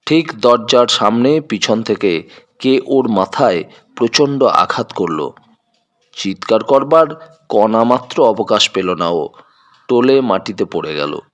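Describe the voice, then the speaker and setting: A man's voice: lowish voice, expressive, takes it slowly. A man narrating a scripted detective story in a dramatic, suspenseful manner.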